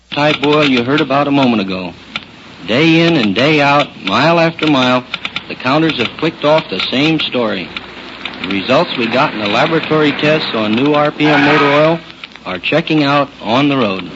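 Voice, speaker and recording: A man's voice: on the high side, lively intonation, normal speed. A man giving a matter-of-fact, scripted radio test report as a research supervisor in a moving car. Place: inside a car in city traffic. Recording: muffled old radio, noisy car. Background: vehicle noise.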